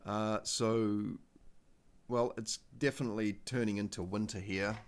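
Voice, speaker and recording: A man with a New Zealand accent hosting a casual livestream, talking in a halting, rambling way with many fillers and restarts into a desk microphone. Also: fairly low pitch, fairly even intonation, smooth. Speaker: livestream host.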